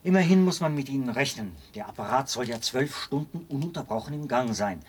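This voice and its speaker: An actor in a radio play playing a devoted, zealous penal colony officer who explains things in a talkative, pedantic way. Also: male voice, mid-range voice, lively intonation, brisk, natural voice. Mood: eagerly explaining. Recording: noisy binaural radio play recording with clicks.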